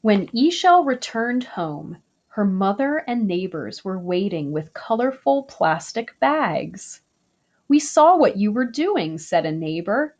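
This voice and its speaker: A woman reading a children's picture book aloud, slow, gentle and expressive. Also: mid-range voice, lo-fi sound. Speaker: storybook reader.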